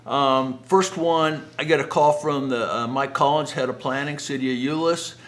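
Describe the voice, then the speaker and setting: A man's voice: on the high side, fairly even intonation, normal speed, fairly bright tone. A middle-aged man telling business anecdotes in a conversational, folksy way, often acting out quoted dialogue. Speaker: speaker, home builder. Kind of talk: anecdotal business storytelling talk.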